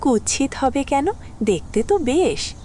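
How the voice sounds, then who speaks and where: A woman's voice: high voice, very animated, a little slow, warm tone, a little hiss or hum. An actress playing an easygoing middle-aged mother in an audio drama, coaxing and mild.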